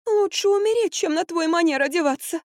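A woman's voice: very high voice, fairly quick, no room sound, clean studio sound, voice pushed up. A woman voicing a whiny, melodramatic young actress in an audiobook, groaning and strained as she wails. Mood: gasping, haughty. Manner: wailing, melodramatic.

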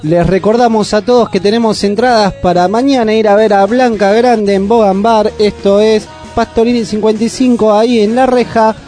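A man's voice: high-pitched, fairly even intonation, moderate pace, hardly any pauses, mellow, faint music behind. A man hosting a casual radio interview, often rephrasing his questions as he goes. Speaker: radio host. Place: radio studio.

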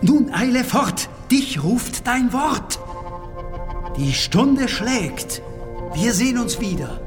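An actor playing a solemn, commanding high priest in a radio play, speaking formally and ceremoniously. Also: male voice, expressive, slow, exaggerated voice. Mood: stern, inexorable. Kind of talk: theatrical opera radio play. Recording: long hall reverb, music behind.

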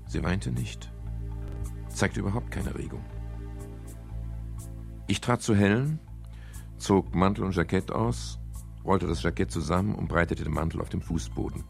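An actor playing a sober, composed private detective in a radio crime drama, speaking in a measured, matter-of-fact way. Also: male voice, low voice, creaky, faint music behind. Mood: sober narrating. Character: male private detective narrating his case, sober and composed. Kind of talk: 1970s German radio crime drama, narration and dialogue.

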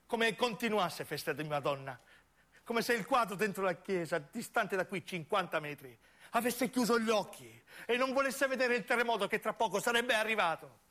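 A man's voice: high voice, bright, forceful tone. A male stage actor tells a story. His delivery is intense and solemn, built from short, broken phrases as in a theatrical monologue.

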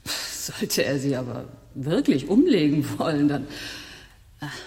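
A woman's voice: low voice, sing-song, a little slow, mellow, exaggerated voice. An actress playing a cool, breezy, teasing woman who stays composed in a radio drama. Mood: calm, persuasive. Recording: echoey room, faint noise.

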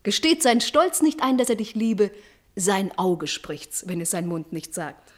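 A woman's voice: medium pitch, very animated, unhurried. An actress playing a loyal, encouraging lady-in-waiting to a princess in a classical verse radio drama, speaking formally and declamatorily. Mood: reassuring.

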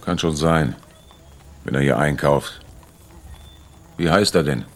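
A country storekeeper in a radio drama, a man with a very low voice. He is laconic and gruff, yet obliging in an offhand way. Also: slow pace, soft background music, exaggerated voice. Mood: gruff, indifferent. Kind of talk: radio drama acting in German.

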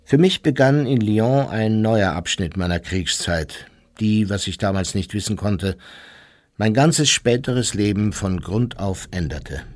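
An actor playing a callous old former SS officer in a radio docudrama, giving blunt, offhand testimony in clipped fragments. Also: male voice, lowish voice, measured pace, lightly acted. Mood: matter-of-fact.

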